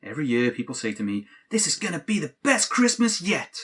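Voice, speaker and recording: A man's voice: fairly high pitch, big pitch swings, fairly quick, fairly bright tone, husky, heavily acted. An actor playing a cynical, exasperated young man in an audio drama, with a sardonic, disbelieving way of talking. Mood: resigned, let down. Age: young adult. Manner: sardonic, incredulous. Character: cynical adult man, exasperated, let-down Christmas sceptic, best friend. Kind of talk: naturalistic audio drama dialogue.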